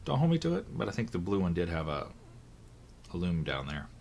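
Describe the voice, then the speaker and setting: A hoarse man, voice still recovering from illness, chatting casually through a product unboxing, thinking aloud with fillers and corrections. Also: deep voice, very animated, fairly quick, darkish tone, slight background noise. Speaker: watch reviewer.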